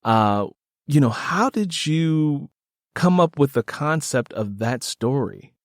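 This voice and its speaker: A man's voice: on the high side, big pitch swings, takes it slowly, dry recording, studio quality. A man hosting a podcast interview in an enthusiastic, conversational way, emphatic and given to repeating words for effect.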